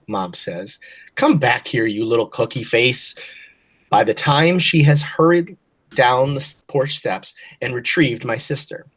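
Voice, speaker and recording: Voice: mid-range voice, husky. A teacher reading a children's novel aloud to a class and giving encouraging prompts.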